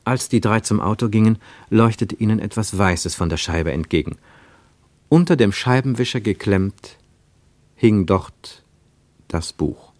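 Deeper-voiced male narrator in a dry studio. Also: measured pace, long pauses, darkish tone, dry recording. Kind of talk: scripted literary narration for a radio play.

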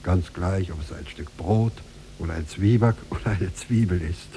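A man's voice: low voice, normal speed, dark tone, natural voice. A man playing a scheming, gloating old merchant villain in a theatrical 1950s German radio play. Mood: wheedling, insistent. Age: older. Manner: insistent, theatrical, with wheedling servility and gloating. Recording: noisy 1950s radio recording.

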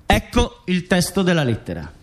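A man's voice: high-pitched, huge pitch swings, moderate pace. A man narrating a scripted radio drama in a formal, expository manner.

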